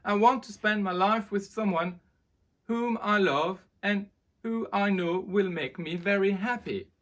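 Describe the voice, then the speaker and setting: A woman's voice: on the low side, pitched above the performer's own voice. A woman voicing a young storybook prince, earnest and loving, speaking out emphatically. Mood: hopeful, loving. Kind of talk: storybook character reading.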